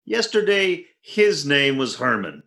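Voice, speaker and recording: A man's voice: a little high, sing-song, slow pace, vocal fry, fairly bright tone, echoey room, slight background noise, exaggerated voice. A man playing an exasperated, grumbling husband with dry, sarcastic delivery in an old-time radio comedy. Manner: dry, sarcastic, put-upon.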